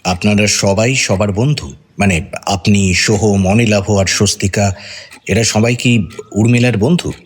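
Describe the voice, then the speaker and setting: A probing, skeptical detective, slightly husky. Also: male voice, lowish voice, steady tone, a little slow. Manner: interrogating, pointed, argumentative. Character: senior male police detective, probing and skeptical. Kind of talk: radio drama acting.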